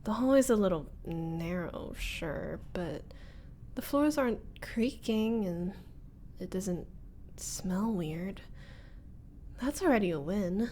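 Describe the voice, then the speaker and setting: A woman's voice: mid-range voice, lively intonation, slow, a little mellow, slight background noise. A woman voicing a flustered young former bully in a scripted roleplay audio, hesitant and wry. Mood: wry, musing. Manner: hesitant, sighing, nervous laughs, wry muttering.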